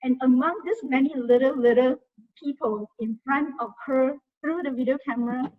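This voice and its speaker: Soft-voiced woman, high and husky. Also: normal speed. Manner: chatty, leans on "you know". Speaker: guest volunteer speaker. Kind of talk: casual talk to a study group. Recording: tinny phone-like sound.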